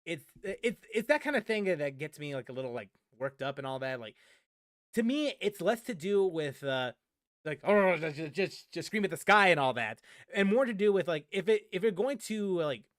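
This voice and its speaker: A man's voice: high voice, expressive, normal speed, fairly bright tone, no echo, clean studio sound. A man streaming casual game chat, rambling and often repeating his phrases for emphasis. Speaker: gaming streamer answering viewers. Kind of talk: casual livestream chat about games.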